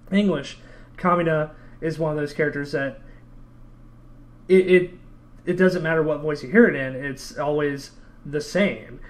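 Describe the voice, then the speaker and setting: A man hosting a casual podcast interview, chatty and a bit hesitant, talking like a keen fan. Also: on the high side. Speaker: podcast host.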